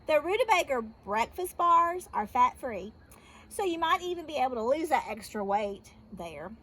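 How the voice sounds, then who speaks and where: Storybook older woman, acted by a female reader: finicky, self-congratulatory, gushing, very animated pitch. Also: moderate pace, breathy edge, exaggerated voice. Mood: smug, breezy.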